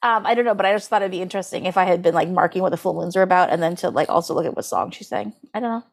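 A woman's voice: fairly low pitch, rapid-fire, vocal fry. A woman co-hosting a podcast in casual conversation, peppering her talk with "like". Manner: conversational, heavy use of "like". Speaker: podcast co-host. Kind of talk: casual astrology podcast chat.

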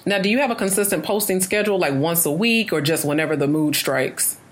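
A woman's voice: lowish voice, a little fast. An American woman hosting a casual interview in an enthusiastic, encouraging and slangy way. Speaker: interview host.

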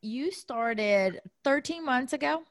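A woman's voice: sits high, lively intonation, takes it slowly, dry recording, clean studio sound. A woman co-hosting a podcast interview, laughing often and encouraging her guest. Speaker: podcast co-host. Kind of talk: casual interview.

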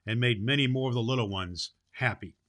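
Jolly old Santa Claus, played by a man, bright and forceful, folksy children's show host, affectionate with young listeners. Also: mid-range voice, fairly quick, natural voice. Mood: warm storytelling. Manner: affectionate, folksy, addresses children, stumbles over words.

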